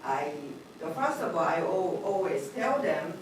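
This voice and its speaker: A middle-aged woman speaks at a public board meeting in a low voice. Her delivery halts, with fillers and loose grammar. She is picked up from far off in a big hall with heavy echo and a noticeable hiss. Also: very animated, a little slow. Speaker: community liaison. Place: large echoey meeting room. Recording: echoey room from a distance.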